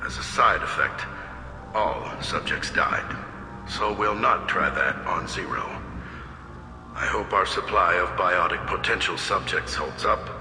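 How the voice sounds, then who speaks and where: A cold, deep-voiced lab scientist. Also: male voice, measured pace, sharp tone, full character voice. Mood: clinical. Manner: clinical, detached log dictation. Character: cold male scientist recording research logs. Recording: reverberant log playback with music.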